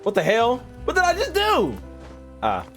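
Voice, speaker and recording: A man's voice: very high-pitched, huge pitch swings, normal speed, fairly bright tone, soft background music. A young man doing casual, slangy, profane reaction commentary as a gaming streamer, riffing and repeating himself.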